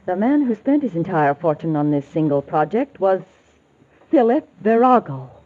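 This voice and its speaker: An actress playing an anxious, earnest client who pleads urgently for help, in 1940s radio drama style. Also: female voice, on the low side, sing-song, moderate pace. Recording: muffled, narrowband old radio recording.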